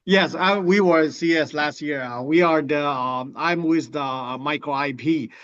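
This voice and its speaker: A man from Taiwan with a Taiwanese accent, speaking haltingly with many fillers on a video call. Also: a little high, fairly bright tone, highs cut off. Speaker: webinar attendee.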